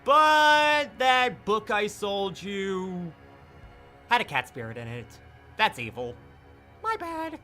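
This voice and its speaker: A man's voice: high voice, very animated, takes it slowly, bright, forceful tone, faint music behind, full character voice. A man voicing a smug, sarcastic thief in a fan audio drama, with a sly mocking drawl. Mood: evasive.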